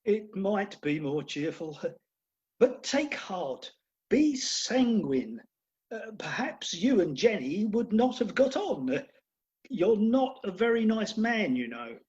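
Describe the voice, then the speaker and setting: A woman's voice: mid-range voice, big pitch swings, slow pace, darkish tone, lo-fi sound. A woman playing a determined, drily sympathetic schemer in a comic Victorian farce, with dry understatement. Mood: drily reassuring. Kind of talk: comic stage farce acting.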